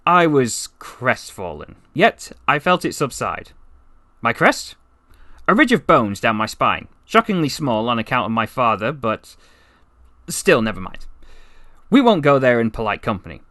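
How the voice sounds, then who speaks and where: A man's voice: medium pitch, very animated, unhurried, fairly bright tone, no room sound, studio quality. A man voicing a chatty, wry, besotted half-alien narrator who tells his comic story conversationally, with rambling asides. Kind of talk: first-person comic story narration, voice acting practice.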